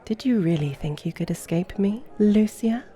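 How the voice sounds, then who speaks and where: A woman's voice: mid-range voice, animated, moderate pace, warm tone, close to an everyday voice. A woman playing a seductive, mischievous vampire in an ASMR roleplay, speaking in a flirtatious, languid, formal way. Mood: playful, taunting.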